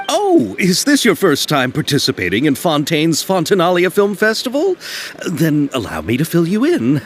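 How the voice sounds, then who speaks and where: A man playing an eager, polite and bookish young filmmaker in a video game, explaining things earnestly and wordily. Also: a little high. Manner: earnest, wordy explainer, very expressive. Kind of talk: video game cutscene acting.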